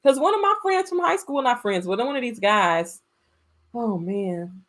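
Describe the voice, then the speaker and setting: An American woman co-hosting a casual movie podcast, telling stories in an animated, freely swearing way. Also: fairly high pitch, a little slow. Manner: animated storytelling with frequent profanity. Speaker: podcast co-host.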